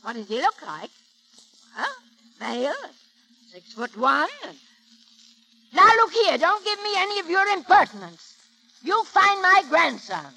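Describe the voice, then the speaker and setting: Cranky rich old granny, old radio comedy, piercing and swoops all over, super bossy. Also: female voice, very high voice, big pitch swings, unhurried, vocal fry, pauses often, sharp tone, soft background music, full character voice, poor audio. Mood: flustered, commanding. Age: older. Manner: bossy, sputtering, scolding. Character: imperious, irascible rich grandmother. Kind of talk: 1930s radio comedy acting.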